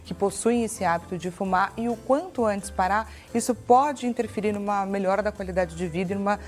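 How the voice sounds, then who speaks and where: A woman's voice: mid-range voice, a little fast, soft background music. A Brazilian woman hosting a TV interview, asking polite, formal questions. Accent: Brazilian Portuguese. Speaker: TV host.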